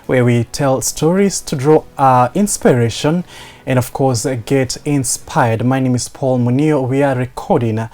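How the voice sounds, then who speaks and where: A man hosting an interview show, speaking in a measured, hesitant way with many pauses. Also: mid-range voice, darkish tone. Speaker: host.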